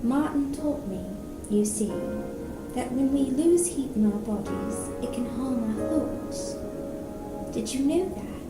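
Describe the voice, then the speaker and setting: Woman reading as a gentle, firm young heroine, echoey. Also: on the high side, far from the mic. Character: caring, firm young woman in fantasy novel. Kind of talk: audiobook-style novel reading. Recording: roomy echo, music behind.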